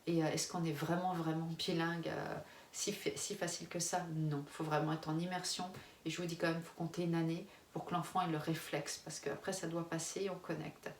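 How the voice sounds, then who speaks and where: French woman in her forties, candid rambling vlog chat, low-ish voice, echoey room, set back from the mic, faint background hum. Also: little pitch movement, fairly quick. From France. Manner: frank, rambling, many restarts, laughs often. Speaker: expat family vlogger. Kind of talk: casual face-to-camera chat. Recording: roomy, distant mic, faint ambience.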